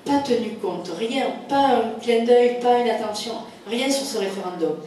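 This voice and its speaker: A woman's voice: a little high, normal speed, dark tone. A French woman, a local elected official, giving an emphatic, insistent campaign speech with repetition and rhetorical questions. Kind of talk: political campaign speech to a meeting. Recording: very echoey room, distant mic, faint noise.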